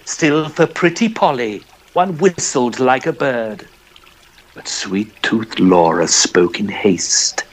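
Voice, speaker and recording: A man delivers dramatic verse as a storyteller. His pace is slow and measured, while his mid-range voice moves expressively up and down through the lines. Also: medium pitch, animated, slight background noise. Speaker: narrator. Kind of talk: dramatic narration of a poem.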